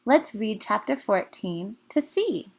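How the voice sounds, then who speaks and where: A woman's voice: medium pitch, animated, slow pace, creaky, slightly rough, no room sound. A woman reading scripted narration from a children's book as host of a story time.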